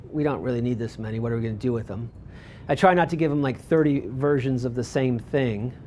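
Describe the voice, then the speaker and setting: A man's voice: fairly high pitch, darkish tone, a little hiss or hum. Rambling man presenting with many ums. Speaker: photographer giving a talk. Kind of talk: presentation with audience questions.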